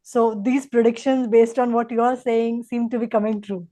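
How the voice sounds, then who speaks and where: High-voiced Indian woman interviewer. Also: steady tone, moderate pace, warm tone. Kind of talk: conversational interview questioning.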